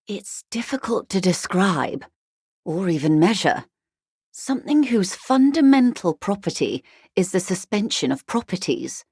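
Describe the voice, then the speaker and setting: A refined upper-class woman of the world in a video game. Her voice sits low and is recorded close and dry. She speaks with gracious, measured eloquence and a wry, philosophical composure. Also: dry recording. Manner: eloquent, unhurried, genteel, dryly philosophical. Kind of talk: video game dialogue, measured explanatory monologue.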